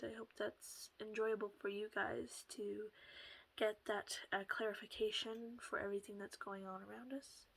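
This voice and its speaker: A woman with a soft voice that often slips into a half whisper, talking casually to her viewers through a headset at home, rambling a little and laughing softly. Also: mid-range voice, level tone, normal speed, vocal fry, husky, poor audio. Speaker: channel host. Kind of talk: casual solo talk to camera.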